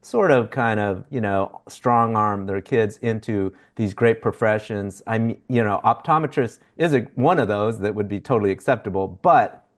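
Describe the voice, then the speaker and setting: Man, podcast co-host, casual, halting, fillers, somewhat low voice. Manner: halting, hedging, lots of fillers. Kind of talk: casual chat.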